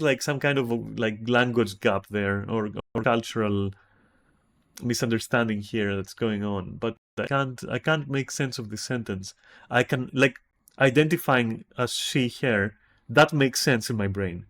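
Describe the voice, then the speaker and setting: A man with a Greek accent talks through his thoughts on a stream, his voice sitting at an ordinary middle pitch. He questions himself as he goes, repeating and restarting his sentences. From Greece. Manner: thinks aloud, many restarts and puzzled questions. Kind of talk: casual stream commentary, reacting to a text.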